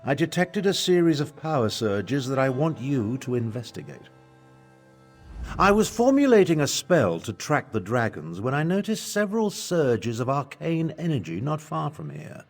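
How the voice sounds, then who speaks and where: A man's voice: medium pitch, big pitch swings, normal speed. An older man acting a pompous, haughty battle mage and imperial advisor in a video game, with theatrical delivery. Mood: brisk, commanding. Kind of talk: video game character dialogue. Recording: music behind the voice.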